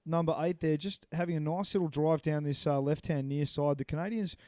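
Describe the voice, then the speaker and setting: Upbeat male commentator, smooth and dry. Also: a little high, fairly even intonation, a little fast, mellow, no room sound. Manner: upbeat, jokey, filler-heavy analysis. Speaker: sports commentator. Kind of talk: live play-by-play commentary.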